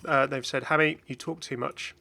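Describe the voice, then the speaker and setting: A man's voice: mid-range voice, steady tone, normal speed, creaky, sharp tone, slight background noise. A man hosting a gaming video with casual, chatty commentary that drifts into hesitant asides. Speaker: video host, game content creator.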